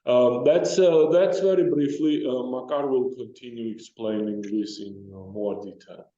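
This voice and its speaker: Halting man presenting in dull, roomy audio. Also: mid-range voice, dark tone. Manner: halting, hesitant with frequent broken-off phrases. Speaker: company executive presenter. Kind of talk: financial results presentation. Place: conference call.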